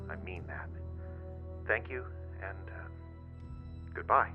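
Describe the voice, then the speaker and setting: An actor playing an urgent, guilt-ridden male time traveler in an audio drama, speaking in a halting, emphatic, earnest way. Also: low voice, animated, gravelly, highs cut off, loud music behind the voice, heavily acted. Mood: resolved, subdued.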